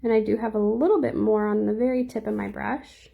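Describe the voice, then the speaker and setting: Young woman nail educator, slightly squeaky, a bit gravelly, chatty live-tutorial delivery. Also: steady tone, a little fast, phone-quality sound. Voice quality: a little squeaky. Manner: chatty, reads and answers viewer comments.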